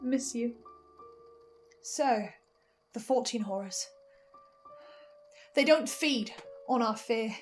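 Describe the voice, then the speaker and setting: A woman giving a casual, animated recap and reaction, often interrupting herself with asides. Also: a little high, slow pace, pauses often, soft background music. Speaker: host of a podcast reaction show.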